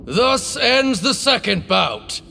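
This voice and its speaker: A man's voice: very high-pitched, sing-song, takes it slowly, vocal fry, sharp tone, heavily acted. A man voicing a solemn elder who presides over a ritual duel in a video game, speaking in a stately, ceremonial way. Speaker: ceremony master. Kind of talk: formal dramatic game voice acting. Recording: long hall reverb over music.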